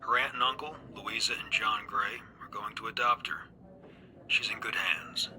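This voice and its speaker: A man's voice: fairly low pitch, steady tone, normal speed, pushed and bright, a little hiss or hum. A man playing a matter-of-fact investigator dictating an official case report in a game cutscene. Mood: sober, official. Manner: clipped, official.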